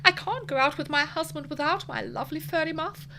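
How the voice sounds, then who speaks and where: A woman's voice: high voice, lively intonation, a little fast, creaky, bright, forceful tone, exaggerated voice. A woman acting a prim, fussy housewife in a spoof 1940s radio advert, fretful and gushing.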